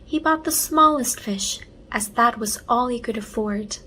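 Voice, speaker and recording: A woman reading a children's story aloud in an expressive storytelling style, carefully enunciated. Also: on the high side, a little hiss or hum. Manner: unhurried, enunciated read-aloud.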